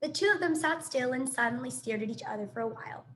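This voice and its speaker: High-voiced woman reading a children's story. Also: quick pace. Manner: animated, dramatic sound effects and asides. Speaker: teacher reading a children's book aloud. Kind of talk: expressive read-aloud storytelling.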